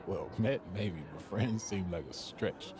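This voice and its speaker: An actor playing a confident young American basketball draft prospect in a game, talking in a laid-back, colloquial way. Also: male voice, fairly low pitch, a little slow, crowd noise, natural voice. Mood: surprised, pleased. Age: young adult. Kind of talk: naturalistic video game cutscene acting.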